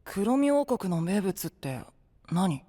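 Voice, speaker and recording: High, cheeky, animated boy. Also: takes it slowly, dry recording, clean studio sound, soft background music, exaggerated voice. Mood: pouty. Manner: teasing, frank, pouty. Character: cheeky, picky, boyish young man devoted to his twin. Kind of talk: Japanese anime-style voice drama.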